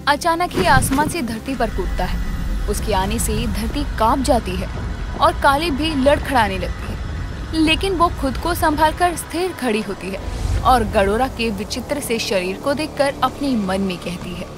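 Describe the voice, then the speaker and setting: Female storyteller: high pitch, dramatic scripted narration, music running behind. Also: lively intonation, measured pace.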